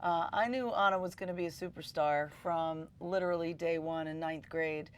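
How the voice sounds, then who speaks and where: A woman's voice: fairly low pitch, steady tone, normal speed, clear, resonant tone. A middle-aged woman teacher talking in an interview, enthusiastic and full of ums. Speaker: high school teacher.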